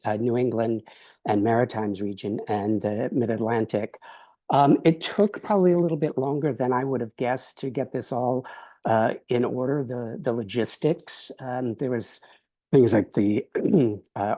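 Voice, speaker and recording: Halting man, dry and mellow, losing his voice. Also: medium pitch, no room sound. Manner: halting, full of "uh" and restarts. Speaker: professor and regional officer. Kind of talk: unscripted remarks on a video call.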